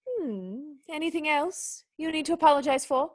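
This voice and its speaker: An actress playing a proud young noblewoman in a radio play, sharp-tongued, wounded and indignant, with cutting, articulate speech. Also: high-pitched, expressive, normal speed. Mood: scornful, indignant.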